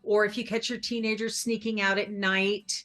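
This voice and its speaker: Calm middle-aged woman giving parenting advice. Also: medium pitch, normal speed. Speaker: parenting expert guest. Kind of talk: TV talk show interview.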